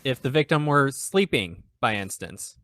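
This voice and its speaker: A man's voice: mid-range voice, animated, unhurried, fairly bright tone, no echo, studio quality. A man playing an earnest defending counsel, formal and persuasive, in an old-time radio drama. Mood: probing. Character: earnest, pleading defending counsel addressing court and jury.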